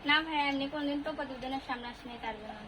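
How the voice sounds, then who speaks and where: A woman's voice: high-pitched, rapid-fire. A young adult woman with a Bangladeshi accent answering interview questions in a plain-spoken, plaintive way. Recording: very noisy, crowd voices behind.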